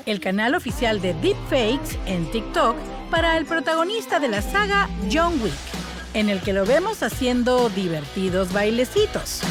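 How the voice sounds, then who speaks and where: A woman narrating a scripted explainer video as a voice-over. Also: a little high. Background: music.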